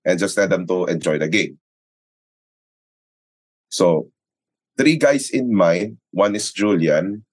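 A man's voice: sits low, animated, slow, pauses often, husky, no echo. A man with a Filipino accent telling stories in casual conversation as an interview guest, acting out quotes and often checking in with tag questions. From Philippines. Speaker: sports announcer and radio commentator, interview guest. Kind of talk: casual conversational storytelling, mixing Tagalog and English.

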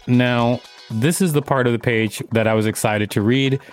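A man's voice: mid-range voice, steady tone, normal speed, clear, resonant tone, soft background music. A man hosting a podcast, reading an article aloud and adding casual commentary. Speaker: podcast host.

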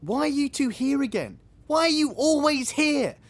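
A woman's voice: lowish voice, very animated, normal speed, breathy edge, dry recording. A woman playing an exasperated, paranoid, foul-mouthed housemate in an audio sitcom, blunt and agitated. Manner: blunt, sweary, incredulous, agitated.

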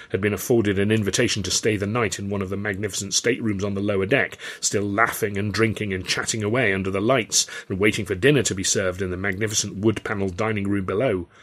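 A man with an English accent narrating a first-person story from a script in a wry, literary style. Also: lowish voice. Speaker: author and audiobook narrator.

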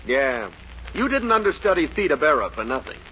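An actor playing a skeptical, weary member of a touring theater troupe, dry and sarcastic, in a 1940s radio comedy. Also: male voice, fairly high pitch, sing-song, fairly quick. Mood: dry, doubtful. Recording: muffled, hissy 1940s radio recording.

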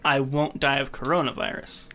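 A man's voice: mid-range voice, level tone, moderate pace, sharp tone, faint music behind. A man hosting a podcast in casual group chat, with a sarcastic, teasing manner. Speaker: podcast host.

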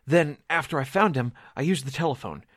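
A man's voice: fairly high pitch, a little fast, a bit bright, dry recording, studio quality. A man playing an anxious, flustered newspaper assistant with breathless run-on talk, in 1940s radio drama style. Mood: panicky. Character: jittery, anxious young-sounding male column assistant.

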